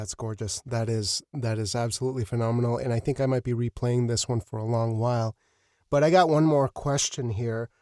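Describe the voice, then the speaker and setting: A male podcast host with a medium-pitched voice in a dry, echo-free recording. He interviews conversationally and is effusive and encouraging toward his guest. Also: a little mellow.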